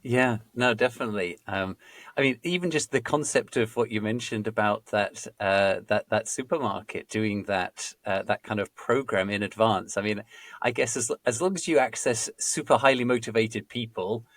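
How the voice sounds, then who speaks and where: Low-voiced man, halting podcast host. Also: a bit bright, no echo. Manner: hesitant, many restarts and fillers. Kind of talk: podcast interview.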